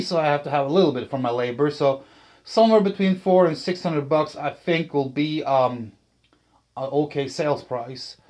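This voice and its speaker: A man talking casually and unscripted about a hobby build, thinking aloud in a hesitant, halting way as he presents. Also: on the high side, darkish tone. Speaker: channel host, speaker builder.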